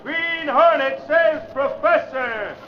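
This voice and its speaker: An actor playing an eager young newsboy in a radio drama, calling out extra editions in a street vendor's chant. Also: male voice, extremely high pitch, slow pace, slight background noise. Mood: eager hawking. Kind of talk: 1940s radio acting. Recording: dull vintage radio recording over music.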